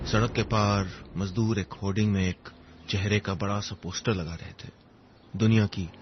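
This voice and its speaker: A man narrating a scripted first-person story in a measured, reflective way, with short pausing phrases. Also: sits low. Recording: dull lo-fi audio under music. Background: instrumental music.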